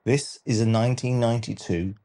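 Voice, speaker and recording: A man narrating a scripted countdown documentary with a measured, dramatic delivery. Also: medium pitch, fairly even intonation, dark tone. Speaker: narrator and channel host.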